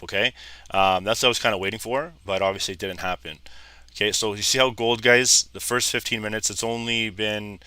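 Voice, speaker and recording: A man in his thirties, a little hoarse, casually talking viewers through live trading charts and calling them "guys". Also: fairly low pitch, bright, forceful tone, no echo. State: slightly hoarse, losing his voice. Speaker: trading educator. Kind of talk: live trading screen commentary.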